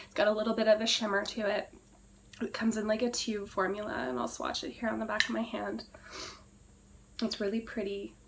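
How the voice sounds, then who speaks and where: A woman with a hoarse, cracking voice she says she is losing, chatting casually through a beauty product review and often clearing her throat. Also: mid-range voice, a little hiss or hum. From Canada. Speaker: beauty vlogger.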